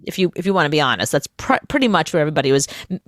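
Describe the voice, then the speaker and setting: A woman co-hosting a radio show in casual comedic chat, chatty and animated in her storytelling. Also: sits low, racing, no echo, clean studio sound.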